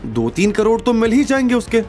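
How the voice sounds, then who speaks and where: Man as an anxious spoiled young woman, high-pitched. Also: sing-song, fairly quick, mellow. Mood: panicky. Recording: music bed behind.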